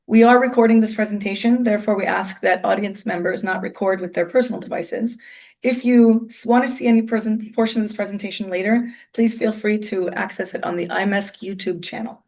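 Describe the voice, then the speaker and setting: A woman hosts a university talk and reads a prepared introduction of the guest. Her delivery is measured and formal, as if from notes. Her voice sits at an average pitch for a woman. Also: fairly even intonation, gravelly, a little mellow. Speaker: event host introducing the speaker. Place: lecture hall.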